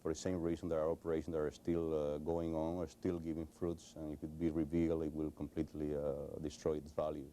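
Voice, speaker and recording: A middle-aged man speaks in English with a Cuban Spanish accent. His voice is very deep, dark and mellow, and it stays close to a flat, even pitch. He pauses often and restarts his sentences, and there is a faint hiss behind him. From Cuba. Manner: hesitant, many fillers and restarts. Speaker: interview guest. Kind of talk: recorded television interview.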